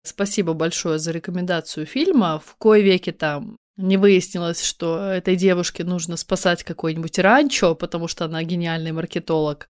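A woman co-hosting a podcast, talking in a relaxed, agreeable way and often murmuring assent. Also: lowish voice, moderate pace, hardly any pauses, clean tone. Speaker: podcast co-host. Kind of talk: casual chat.

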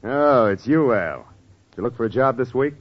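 An actor playing an unimpressed, sardonic government check clerk in an old radio sitcom. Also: male voice, medium pitch, animated, normal speed, no echo, lightly acted. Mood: weary, sardonic. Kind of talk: 1940s-50s radio sitcom acting. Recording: dull, very noisy old radio recording.

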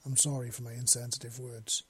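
A man's voice: mid-range voice, little pitch movement, brisk, a bit bright, breathy edge. A man voicing a big, playful male alien creature with claws and a teasing manner in an audiobook story. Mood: soft, apologetic.

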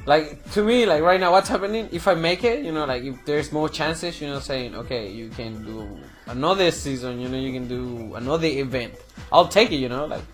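Young man from Chile, Chilean Spanish accent, slightly high voice, easygoing interviewee, faint music behind. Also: a little fast. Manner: chatty, loose, peppered with "bro" and "you know". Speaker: fighting game player and commentator. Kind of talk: casual interview.

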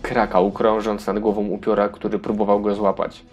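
A man's voice: lowish voice, completely flat delivery, moderate pace, darkish tone, faint music behind. A man narrating a scripted children's fairy tale as a storyteller.

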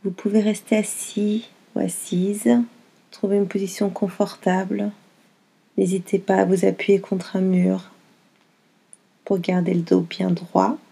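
Woman doing guided yoga nidra, really slow n gentle, flat calm pitch, mellow, lots of pauses. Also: gravelly, husky. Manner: gentle, unhurried, with long pauses between short phrases. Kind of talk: guided meditation, slow and soothing instruction.

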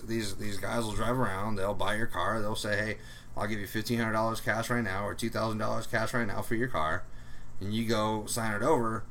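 A man's voice: lowish voice, fairly bright tone, slight background noise. A man ranting casually about immigration politics, rambling and swearing, with many fillers.

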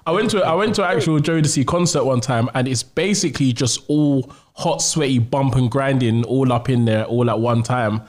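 A man's voice: mid-range voice, normal speed, hardly any pauses, darkish tone. A man chatting casually as a podcast host. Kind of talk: casual chat.

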